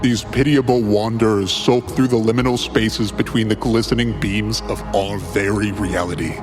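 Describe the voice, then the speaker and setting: A man playing a solemn, grandiose cult prophet in an audio drama, speaking in an ominous, measured manner. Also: on the low side, fairly even intonation, soft background music, pitched below the performer's own voice, natural voice. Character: solemn male cult prophet preaching destiny and truth.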